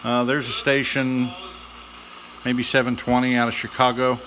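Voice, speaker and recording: A man giving a casual, unscripted demonstration as a hobbyist restorer, speaking haltingly with many pauses. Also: medium pitch, level tone, a bit bright, a little hiss or hum. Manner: halting, hesitant, with trailing pauses.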